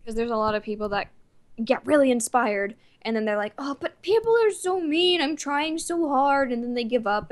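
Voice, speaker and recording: Higher-voiced woman chatting candidly. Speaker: podcast guest, amateur voice actor. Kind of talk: casual interview chat.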